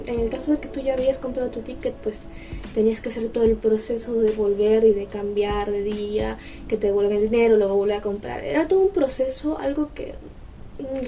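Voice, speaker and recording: A woman's voice: fairly high pitch, mellow. A woman vlogger chatting casually to camera, explaining things in a conversational way. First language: Spanish. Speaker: vlogger, host. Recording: distant mic with music behind.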